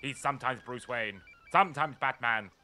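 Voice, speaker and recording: A man playing the straight-faced narrator of an absurd superhero radio play, reading short declarations deadpan. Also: medium pitch, normal speed, faint music behind.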